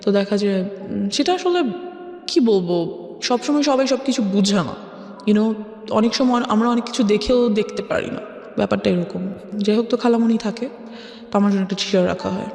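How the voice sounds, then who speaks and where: A woman's voice: mid-range voice, normal speed, creaky, a little mellow. A woman with a Bangladeshi accent telling her own life story in an interview, mixing English phrases into her speech. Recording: echoey reverberant sound with music behind. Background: music.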